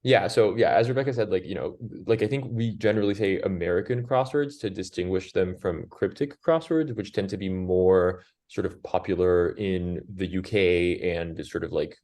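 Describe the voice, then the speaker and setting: A man's voice: deep voice, moderate pace, few pauses, a little mellow. A man who grew up in Brazil with Portuguese as his first language, a crossword constructor answering interview questions in a rambling way, full of "like" and restarts. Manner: rambling, heavy use of "like", frequent restarts.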